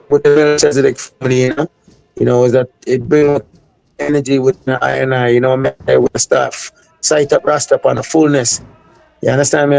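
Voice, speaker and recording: Gravelly-voiced man in rambling interview talk. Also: mid-range voice, a little mellow, rough recording. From United States. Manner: rambling, heavy on Jamaican-style filler phrases. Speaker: reggae artist guest. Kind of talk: casual interview talk with sung snippets.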